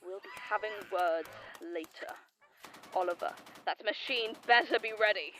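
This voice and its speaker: A woman's voice: mid-range voice, moderate pace, pushed and bright, a little hiss or hum, lightly acted. A woman voicing a stern commander who gives curt orders. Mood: commanding.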